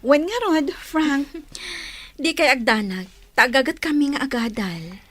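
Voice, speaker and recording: A woman acting a high-pitched young Ilocano sweetheart. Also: lively intonation, measured pace, fairly bright tone, no echo. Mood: cheerful. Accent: Filipino, Ilocano. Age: young adult. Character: young female student, coy and cheerful sweetheart. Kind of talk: radio drama acting in Ilocano.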